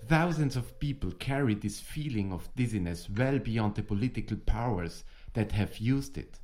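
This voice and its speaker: A man's voice: on the low side, expressive, moderate pace, darkish tone. A man co-hosting with serious, prepared explanatory narration.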